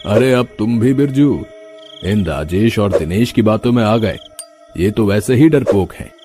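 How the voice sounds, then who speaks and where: A man's voice: on the low side, animated, a little slow, over music. A man voicing a dismissive male village headman who lectures and brushes off fears, in a cartoon.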